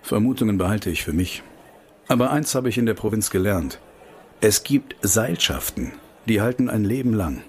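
An actor playing a determined, disillusioned investigator in a political radio play, measured and dryly sardonic. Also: male voice, fairly low pitch, expressive. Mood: grim, resolute. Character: determined male investigator, disillusioned with corrupt state authorities.

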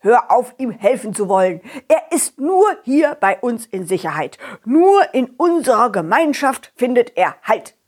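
A woman's voice: fairly high pitch, big pitch swings, unhurried, hardly any pauses. A woman voicing a big, domineering stone in a children's story, speaking in a blunt, commanding way. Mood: stern, bossy. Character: big blocky domineering stone, stern and bossy.

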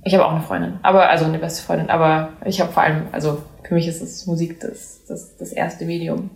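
A young woman, lowish and gravelly, talking in an animated, casual way. She tumbles through restarts and fillers. The room around her sounds echoey. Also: fairly quick. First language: German. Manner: animated, many fillers and restarts, laughs easily. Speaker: singer-songwriter guest. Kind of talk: casual interview chat.

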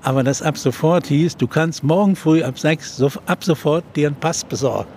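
An older man, a journalist and TV presenter, recounting a historical event in an interview with many pauses and filler sounds. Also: fairly high pitch, a little mellow, a little hiss or hum. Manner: hesitant storytelling with many fillers.

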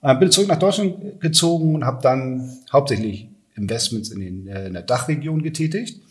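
A man's voice: medium pitch, animated, normal speed. A man, talking casually and matter-of-factly as an interview guest. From Hamburg, Germany. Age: 40s. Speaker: podcast guest, venture capitalist.

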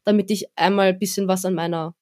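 A young woman speaking in a relaxed, chatty way as a guest, with plenty of small fillers. Her pitch sits in an ordinary middle range for a woman. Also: fast talker. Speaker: guest, event presenter. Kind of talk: casual interview talk.

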